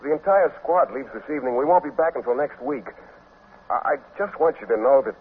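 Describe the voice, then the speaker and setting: An actor playing a bashful, earnest biology teacher who speaks in hesitant, wordy phrases in a 1950s radio comedy. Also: male voice, mid-range voice, expressive, fairly quick, slightly rough. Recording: tinny old radio broadcast, faint noise.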